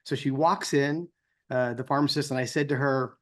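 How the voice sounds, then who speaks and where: A man's voice: mid-range voice, steady tone, moderate pace. An American man giving an informal, conversational speech as a co-founder, in the manner of someone telling personal anecdotes. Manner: conversational, acts out dialogue in anecdotes.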